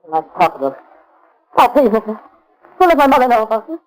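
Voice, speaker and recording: A woman's voice: fairly high pitch, very animated, fast talker, poor audio. An actress in a 1930s radio crime drama playing a hopped-up, girlish young robber who talks in slang and pleads. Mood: pleading, panicky. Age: young adult. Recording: vintage 1930s radio recording.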